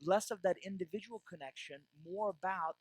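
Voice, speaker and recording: A man's voice: high-pitched, fairly quick, no room sound. A man from Iran giving a business keynote with jokes, often asking the audience "right?". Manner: tags lines with "right?" to the audience. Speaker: keynote speaker.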